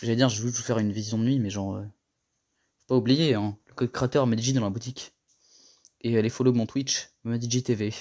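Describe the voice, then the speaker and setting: A young man giving a casual tour of his gaming setup, rambling and slangy, a little hoarse from a cold. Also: mid-range voice, steady tone, brisk, a little mellow. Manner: rambling, slangy and self-mocking. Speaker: gaming vlogger.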